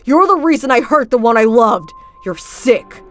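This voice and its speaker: A woman's voice: on the low side, measured pace, faint music behind. A woman doing a cold, cutting teen boy. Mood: hateful. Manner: cool, accusing.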